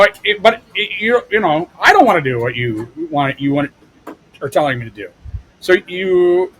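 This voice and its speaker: A man's voice: on the high side, a little hiss or hum, poor audio. A man in his forties hosting an interview podcast, talking casually and speaking straight to the listeners, with frequent restarts. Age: 40s. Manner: addresses listeners directly, restarts often. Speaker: podcast host.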